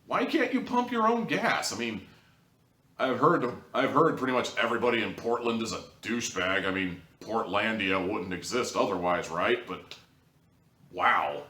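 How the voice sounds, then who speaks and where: A man's voice: fairly low pitch, lively intonation, moderate pace, sharp tone. A man giving a casual, opinionated review to camera in a wry, joking, sarcastic manner. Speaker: audio drama reviewer, video host. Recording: roomy echo, distant from mic.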